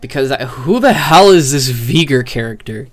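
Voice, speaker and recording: Man, medium pitch, casual reaction commentary, slangy, swearing, incredulous questioning, game streamer. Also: lively intonation, normal speed.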